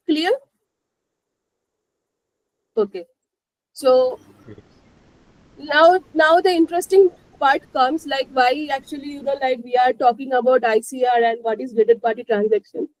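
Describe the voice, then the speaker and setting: Webinar instructor: Indian-accented woman with a high-pitched voice, explaining conversationally, recorded dry. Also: a little slow, pauses often, dry recording, low-quality recording. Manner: repeats 'you know, like' and checks understanding. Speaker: presenter of a finance webinar. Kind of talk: live explanatory lesson.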